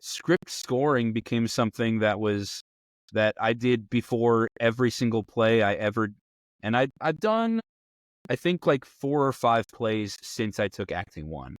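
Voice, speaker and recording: A man's voice: medium pitch, animated, unhurried, no room sound. Man hosting a show, close dry sound. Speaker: host of a tabletop role-playing advice show. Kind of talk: conversational talk show advice.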